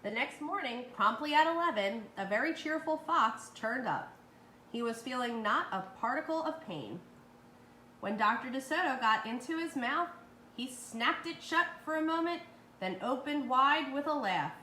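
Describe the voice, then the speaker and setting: A woman's voice: high-pitched, animated, moderate pace, bright, forceful tone. A woman reading a children's story aloud expressively as a storyteller. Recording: roomy, echoey with faint noise.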